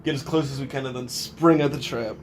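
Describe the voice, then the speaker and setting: A man's voice: medium pitch, fairly even intonation, fairly quick, slight background noise. Brash, sweary man at a game table. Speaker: player in a tabletop role-playing game. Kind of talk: casual chat at a game table. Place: in-person game session indoors. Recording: background music under the voice. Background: background music.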